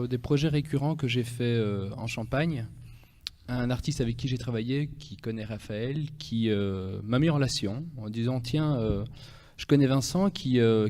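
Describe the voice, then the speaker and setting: A man with a Belgian French accent, speaking as an interview guest in an easy, chatty manner. His voice is mellow and dark at a middle pitch. The sound carries a long reverb. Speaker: guest, pianist and actor. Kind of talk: radio interview.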